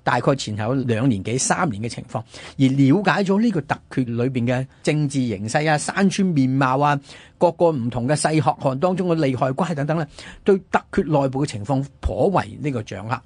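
A man with a Hong Kong Cantonese accent telling history conversationally as a radio host, animated and fond of rhetorical questions. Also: medium pitch, fairly quick, slightly rough, no room sound. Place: radio studio.

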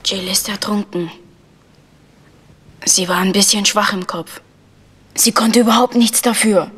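A woman playing a frightened, secretive village boy in a German radio crime drama, hushed and hesitant. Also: medium pitch, steady tone, measured pace, slightly rough, in a large hall.

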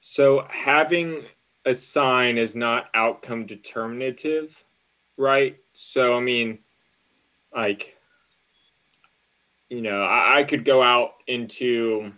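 A man's voice: mid-range voice, bright, forceful tone, rough recording. A man in his thirties with an American accent, a lawyer thinking aloud as he chats casually and gives hedged advice. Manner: halting, hedging, thinking aloud. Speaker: lawyer answering call-in questions. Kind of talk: casual legal advice chat on a livestream. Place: indoors in front of a green screen, on a video call.

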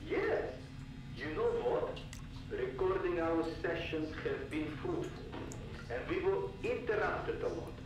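A man's voice: sits high, wildly swooping pitch, unhurried, muffled recording, exaggerated voice. An actor playing a disillusioned, distrustful male scientist who longs for peace, earnest and brooding, in a video game audio log. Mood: resigned, suspicious. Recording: roomy, distant, noisy cassette tape recording.

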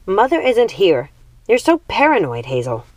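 Woman doing young witch daughter, restless n insistent, storybook reading, pitched up. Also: wildly swooping pitch, moderate pace, darkish tone, no echo. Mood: exasperated. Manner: earnest, insistent.

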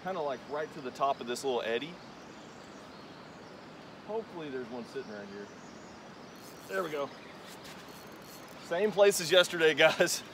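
A man's voice: on the high side, background noise. Chatty young man fishing by a river. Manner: relaxed, chatty, explains while casting. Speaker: fishing channel host. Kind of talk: casual fishing vlog narration. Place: riverbank outdoors by a bridge. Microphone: tripod camera at a distance. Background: rushing river current.

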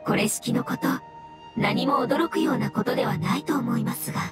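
Woman voicing a high-voiced, serene mushroom spirit. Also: natural voice. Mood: calm, explanatory. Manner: measured, formal, gently explanatory. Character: calm, polite, wise talking mushroom spirit creature. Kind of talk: scripted video game dialogue. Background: background music.

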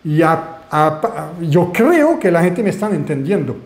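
French-Canadian male webinar host, animated, asks the room questions, roomy echo on a webcam recording. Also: a little high, unhurried. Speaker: online marketing mentor. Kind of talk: webinar presentation.

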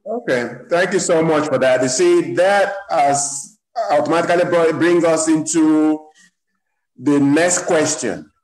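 Man, moderator, video call, measured and polite, slightly high voice, echoey room. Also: a little mellow. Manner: measured, polite, asks questions by name. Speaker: guest moderator.

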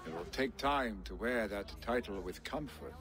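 An actor playing a grieving, proud young Viking warrior in a video game, speaking in solemn, measured declarations. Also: male voice, on the low side, animated, lightly acted. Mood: heavy-hearted. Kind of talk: dramatic video game acting.

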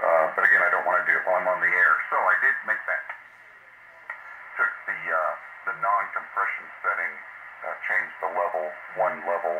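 A man's voice: sits low, steady tone, a little fast. A man chatting casually as an amateur operator, heard through a shortwave radio. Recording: noisy, roomy shortwave radio playback.

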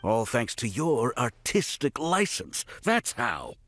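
A man's voice: mid-range voice, huge pitch swings, takes it slowly, a bit bright, a little hiss or hum, exaggerated voice. A weary shop craftsman. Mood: wry. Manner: wry, explanatory. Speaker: toy store worker.

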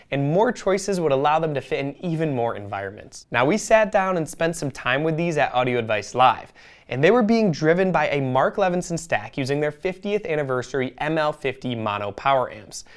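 A man's voice: fairly high pitch, expressive, a little fast. Polished young man presenting a scripted review. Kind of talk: scripted product review narration. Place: indoor studio, seated at a table.

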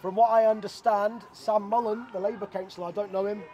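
A man's voice: high-pitched, flat delivery, a little fast, warm tone, dull audio. A middle-aged man organising a protest, urging people through a megaphone with a practical, pushy manner. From Scotland. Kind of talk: street protest speech. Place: outdoors by a hotel car park. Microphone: handheld megaphone. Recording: outdoor megaphone with crowd noise.

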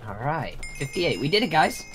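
A man playing an eager, naive teenage boy in an improvised game roleplay, chatty and animated. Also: fairly high pitch, normal speed. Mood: excited. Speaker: roleplay actor in a Minecraft series. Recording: loud music and chimes behind.

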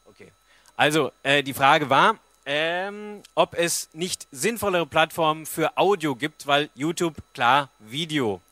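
Man, thirties, informal presenter, explanatory, crisp bright voice, little room echo. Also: a little high, measured pace. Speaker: speaker giving a talk and taking questions. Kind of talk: informal public presentation with audience Q&A. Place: stage at a book fair forum. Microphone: handheld-type microphone on a stand.

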